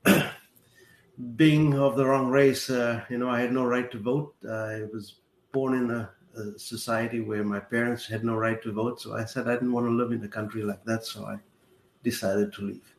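Gravelly older man. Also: medium pitch, flat delivery. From South Africa. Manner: measured, methodical explainer. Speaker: accountant and retirement adviser guest. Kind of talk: explanatory interview answers. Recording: roomy call audio with faint hiss.

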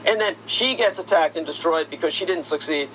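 A man with a notably high voice, talking in a long, aggrieved monologue. He sounds accusatory and indignant and keeps pushing up into sharper, louder bursts. There is a faint hum behind him. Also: fairly quick, low-quality recording. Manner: indignant, accusatory, rising to shouty outbursts. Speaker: commentator. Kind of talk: monologue rant.

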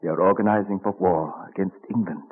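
A man's voice: sits low, husky. An actor playing an elderly American statesman, weary and dryly witty, in an old radio drama style. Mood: musing. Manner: deliberate, musing, dryly witty. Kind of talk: 1940s radio acting. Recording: tinny old radio recording, music behind.

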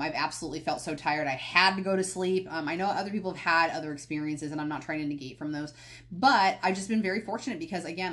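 A woman hosting a podcast on her own, talking candidly and rambling a little, often going off on tangents and correcting herself. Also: deep voice. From United States. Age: adult, past college. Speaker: podcast host. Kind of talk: casual solo podcast talk.

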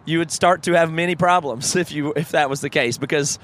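A man's voice: a little high, a little fast, fairly bright tone. A man co-hosting a podcast in casual chat, thoughtful and frank, restarting his sentences as he works through ideas, with an occasional curse. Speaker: podcast co-host.

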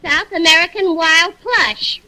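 Vintage radio comedienne role: high-pitched New dame, coy, gullible, ungrammatical, on a noisy old recording. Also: female voice, measured pace. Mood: indignant. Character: gushing, gullible man-chasing young barroom lady. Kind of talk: 1940s radio comedy acting.

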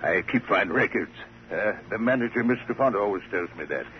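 Casting: man playing fussy, self-important clerk, deep voice, precise officious delivery, dull vintage radio drama sound. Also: animated, brisk, close to an everyday voice. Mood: self-satisfied. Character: fussy, self-satisfied male baggage clerk. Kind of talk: 1950s radio crime drama acting. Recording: noisy, muffled vintage radio recording.

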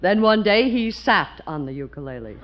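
A woman's voice: mid-range voice, sing-song, normal speed, slight background noise, natural voice. An actress playing a prim, genteel middle-aged woman in a 1940s radio comedy, polite and dryly witty. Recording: dull 1940s radio broadcast.

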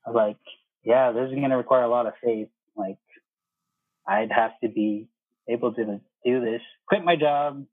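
A man's voice: medium pitch, dry recording. A man in his thirties telling his own story casually as an interview guest, conversational and retelling exchanges in quoted speech, over a muffled phone-like line. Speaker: interview guest, air traffic controller.

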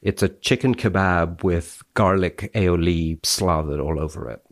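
A man speaking as a podcast guest, wry and expressive, with a dryly playful touch. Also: deep voice, measured pace. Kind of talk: dramatic reading and wry conversation.

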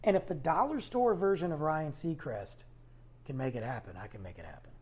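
A man's voice: fairly high pitch, animated, brisk, a little mellow, breathy edge. A man hosting his own podcast, talking casually and rambling in a self-deprecating way from his parked car. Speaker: podcast host. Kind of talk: casual solo podcast chat.

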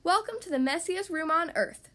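A woman voicing a firm mother rabbit in a children's storybook, measured and grandly theatrical. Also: extremely high pitch, expressive, fairly bright tone, voice pushed up. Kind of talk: children's storybook read-aloud.

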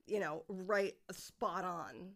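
A woman's voice: on the low side, slow pace, vocal fry, fairly bright tone. A middle-aged woman, a guest on a podcast, chatting casually and rambling through stories with lots of fillers. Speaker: guest, musician's mother.

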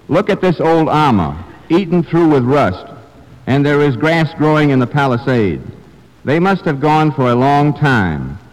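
A man's voice: a little high, sing-song, a little slow, darkish tone. A man reading aloud formally as a guest on an old-time radio show. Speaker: mayor, guest reader. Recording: old radio recording, echoey hall.

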